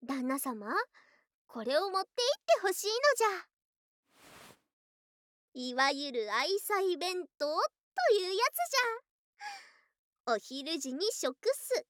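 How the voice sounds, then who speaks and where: A woman's voice: sits high, lively intonation, takes it slowly, long pauses, no room sound, clean studio sound. A woman playing a doting, possessive lamia yokai wife in an ASMR voice drama, with haughty but affectionate archaic speech. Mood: cheerful, devoted. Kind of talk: ASMR situation voice drama acting.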